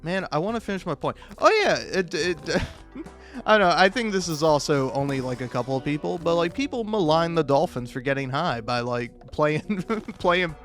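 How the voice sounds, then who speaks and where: A man's voice: on the high side, animated, moderate pace, fairly bright tone. A man in a rambling, comedic off-the-cuff monologue, stammering and restarting words, sweary and self-deprecating. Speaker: video maker. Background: background music.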